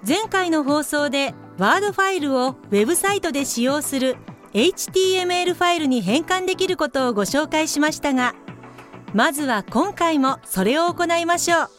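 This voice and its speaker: High-voiced female explainer played by an actress. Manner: polite, instructional. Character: polite female explainer narrator of an office comedy. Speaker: narrator. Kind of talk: scripted explanatory narration for a comic radio drama.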